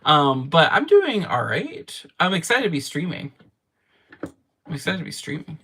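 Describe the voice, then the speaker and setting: A man's voice: fairly high pitch, huge pitch swings, unhurried, pushed and bright, breathy edge. A man in casual livestream chat from his game room, answering viewer comments in a rambling way and laughing at himself often. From Canada. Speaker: streamer.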